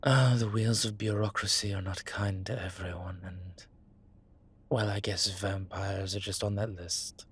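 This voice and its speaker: Suave male vampire for audio roleplay, played by a man: husky, breathy, measured, sentimental with dry wit. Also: fairly low pitch, fairly even intonation. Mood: wry. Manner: measured, wry, formal wording. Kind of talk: scripted intimate audio roleplay, one side of a conversation.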